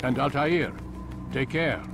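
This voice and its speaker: An actor playing a grave, commanding elder master of assassins in a video game, speaking in a measured, formal way. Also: male voice, medium pitch, gravelly. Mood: solemn command. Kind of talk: video game character acting. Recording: music behind the voice.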